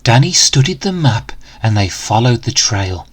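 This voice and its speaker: A man reading a rhyming picture book aloud to children in an expressive, dramatic, deliberate way. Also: mid-range voice, slightly rough. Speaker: storyteller for children.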